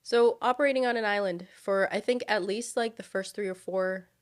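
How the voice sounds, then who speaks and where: A woman's voice: medium pitch, a little fast. A woman in her thirties, from Brazil and raised in the United States, telling stories as a podcast guest and laughing often. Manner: lively storyteller, laughs often. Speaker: guest, high school ministries director. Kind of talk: casual podcast chat.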